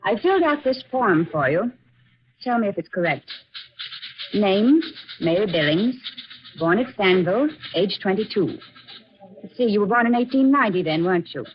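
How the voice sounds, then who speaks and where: Actress as a formal office supervisor, dull old recording. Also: female voice, medium pitch, expressive, normal speed, long pauses, a little hiss or hum, close to an everyday voice. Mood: formal, businesslike. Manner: businesslike, precise. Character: brisk, formal, efficient female office supervisor. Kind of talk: old-time American radio drama acting.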